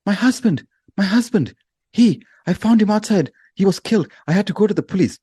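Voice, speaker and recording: A man voicing a distraught wife who haltingly reports her husband's killing in an old radio mystery style. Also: high voice, animated, mellow, husky, no room sound, studio quality, pitched above the performer's own voice. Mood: distraught, panicked.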